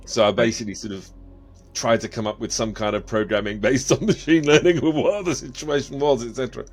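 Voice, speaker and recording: A man's voice: mid-range voice, fairly even intonation, fairly quick, breathy edge, faint music behind. A man talking casually in a group of game players. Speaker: player in a role-playing game.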